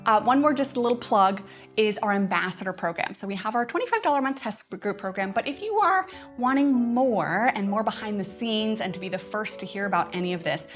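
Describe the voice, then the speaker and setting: A woman with a mid-pitched voice hosts a casual livestream update. She sounds chatty and enthusiastic as she shares announcements, with music faintly behind her. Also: expressive, brisk. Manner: chatty, enthusiastic, often chuckling. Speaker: host of a curriculum update livestream.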